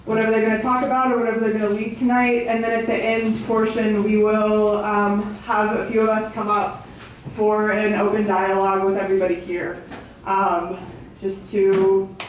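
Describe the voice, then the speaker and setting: A woman's voice: medium pitch, level tone, moderate pace. Echoey, distant woman hosting a gathering. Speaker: event host, organisation director. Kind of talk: informal welcome speech to a gathering. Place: public meeting in an echoey indoor venue. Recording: very echoey room, distant mic, faint noise.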